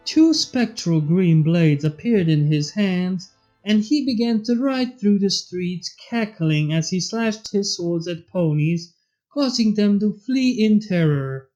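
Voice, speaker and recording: A woman's voice: medium pitch, expressive, takes it slowly, dark tone. A woman reading fan fiction aloud as a scripted story narrator. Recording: slightly muffled, faint music behind. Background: background music.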